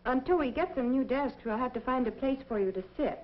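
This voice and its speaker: A high, patient American schoolteacher acted by a woman addresses pupils in clear, instructive tones. It has the style of a 1950s educational film, with the muffled sound of an old soundtrack. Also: lively intonation, brisk. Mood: kindly, organizing. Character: dedicated, patient woman elementary school teacher. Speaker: actor playing a schoolteacher. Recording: dull vintage film soundtrack.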